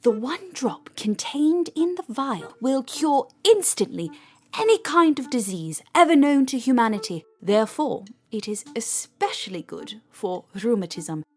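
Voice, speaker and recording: A woman's voice: fairly high pitch, animated, unhurried, faint music behind. A woman playing a self-assured, crafty wizard in an audio drama, with theatrical, emphatic delivery. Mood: boastful. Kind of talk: audio drama character acting.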